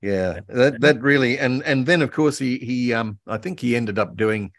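A man's voice: medium pitch, normal speed, no room sound, clean studio sound. An older man with an Australian accent, casually and enthusiastically reminiscing as an interview guest on a video call. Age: older, about 70. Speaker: interview guest, voice actor and author. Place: room at home, on a video call.

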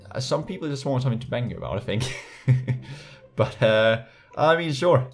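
A man's voice: medium pitch, expressive, moderate pace. A young man from Denmark with a slight Danish accent, reading comments aloud in his bedroom with sarcastic, laughing asides and lots of restarts. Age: young adult. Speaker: YouTuber. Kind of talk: casual vlog reading comments. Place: small bedroom, indoors. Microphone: USB desk mic.

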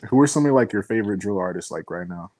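A man co-hosting a podcast, chiming in with quick, chatty remarks over a video call from a plain room indoors. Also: on the low side, expressive, a little mellow. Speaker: podcast co-host. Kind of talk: casual interview.

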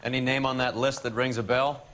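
A man's voice: mid-range voice, level tone, fairly quick, a bit bright, lightly acted. Guy doing cocky american cop in a video game, sardonic and needling, noisy ambience behind. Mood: mocking, pushy. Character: cocky, mocking, insolent male police detective.

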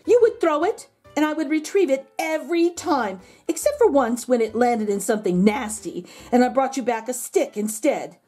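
A self-pitying storybook dog writes home in a high, plaintive voice, acted by a woman reading aloud. He pleads with great melodrama and draws out his words, with faint music behind. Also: lively intonation, normal speed, hardly any pauses, mellow, breathy edge. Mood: wistful, pleading.

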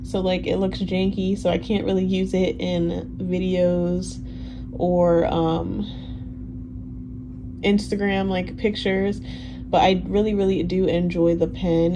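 A young woman in her twenties whose first language is Haitian Creole, chatting casually in a relaxed, rambling way full of ums and likes. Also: on the low side. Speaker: planner vlogger. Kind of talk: casual Q&A chat over a plan-with-me. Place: indoors at a desk. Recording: audible background noise.

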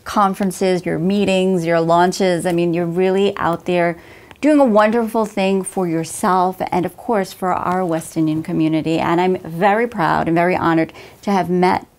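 A woman's voice: on the low side, fairly even intonation, normal speed, darkish tone. A woman in her thirties from Guyana, hosting a talk show interview with questions and thanks. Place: office, seated at a desk.

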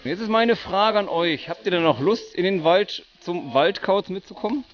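A man's voice: sits high, expressive, normal speed, a crowd in the background. A man talking casually as he guides a group outdoors. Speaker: conservationist, owl walk guide. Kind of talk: casual talk guiding a group. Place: outdoors at night. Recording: dull outdoor field recording.

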